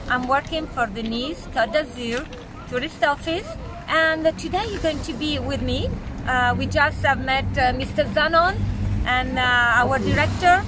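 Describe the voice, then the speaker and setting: A woman's voice: high voice, noisy background. A woman working as a tourist office guide, welcoming viewers in a chatty, friendly manner. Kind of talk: casual guided tour chat. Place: outdoor market.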